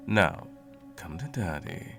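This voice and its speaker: A man playing a sadistic sorcerer in an ASMR roleplay, cooing mockingly and condescendingly at a captive pet in a low gravelly murmur. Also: expressive, takes it slowly, music in the background, heavily acted. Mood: gloating affection. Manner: teasing, cooing, condescending, theatrical.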